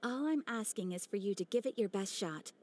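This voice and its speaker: A woman's voice: mid-range voice, lively intonation, darkish tone, natural voice. A woman voicing a polite, formal receptionist at an adventurers' guild in a video game. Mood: polite, reassuring. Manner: polite, formal, measured, professional. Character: poised, courteous female adventurers' guild receptionist. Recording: faint music behind the voice.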